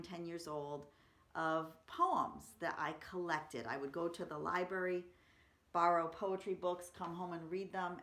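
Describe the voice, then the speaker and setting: A woman's voice: lowish voice, a bit bright. A woman reading poetry aloud for children and chatting between poems, explaining simply and reading expressively. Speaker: library story-time reader. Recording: roomy, echoey room sound.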